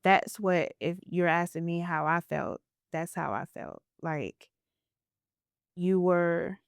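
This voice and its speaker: A woman's voice: fairly low pitch, slow pace, gravelly, clear, resonant tone, dry recording, clean studio sound. A woman podcast host talking in an earnest, reflective way as she shares a spiritual story in a casual interview.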